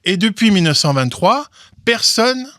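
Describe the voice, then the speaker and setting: A man explaining legal history in an interview, talking haltingly with many restarts and repeated words. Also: high-pitched, very animated, a bit bright, dry recording. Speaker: guest, legal commentator.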